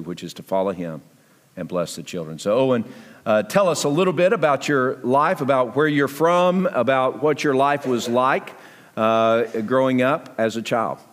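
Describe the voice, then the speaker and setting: Male pastor in a reverberant church hall. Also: mid-range voice. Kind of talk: sermon appeal and guest interview before a congregation. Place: church sanctuary, amplified to a congregation. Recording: echoey large hall reverb.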